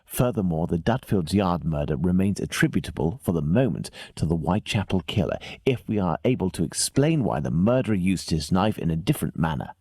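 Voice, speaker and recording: A gentleman detective from a mystery game, with an English accent. His voice is low and slightly rough, rising and falling in lively fashion as he lays out things precisely and formally. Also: brisk, few pauses, no echo, clean studio sound, exaggerated voice. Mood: analytical. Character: keen, methodical male gentleman detective, coolly self-assured. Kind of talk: video game detective dialogue.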